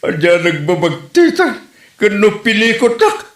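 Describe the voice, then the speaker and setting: Man acting a swooping, animated Ilocano grumbler. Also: high voice, measured pace. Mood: teasing, needling. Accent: Filipino, Ilocano. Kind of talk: amateur comedy drama acting in Ilocano. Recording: roomy echo.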